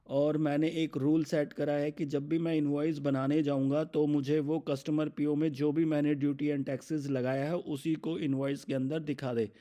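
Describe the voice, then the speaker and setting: An Indian man presenting a software demo, narrating step by step in an instructional way and mixing in English terms. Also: fairly high pitch.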